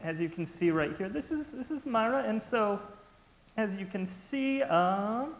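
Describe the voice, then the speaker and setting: A man's voice: high-pitched, expressive, unhurried. A man playing a fussy ancient male geographer in a church skit, lecturing theatrically. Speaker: skit actor. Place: church sanctuary. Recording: echoey church hall.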